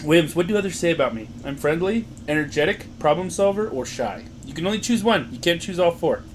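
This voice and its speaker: Loud, playful young man. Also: fairly high pitch, lively intonation, brisk, a little hiss or hum. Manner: joking, playful, laughs often. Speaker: podcast co-host. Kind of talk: casual chat, reading quiz questions aloud.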